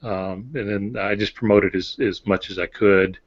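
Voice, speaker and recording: A man's voice: lowish voice, fairly even intonation, normal speed, slightly rough. An older man, a novelist, talking casually on a podcast in a plain-spoken, matter-of-fact way. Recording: dull lo-fi audio.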